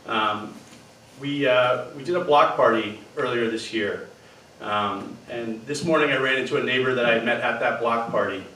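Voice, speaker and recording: A man's voice: medium pitch, sharp tone, slight background noise. Male candidate, conversational storytelling, distant mic, roomy hall. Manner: storytelling, with "um" fillers and repeated words. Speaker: political candidate. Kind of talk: opening statement at a debate. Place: theater stage before a live audience. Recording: roomy theater from far off.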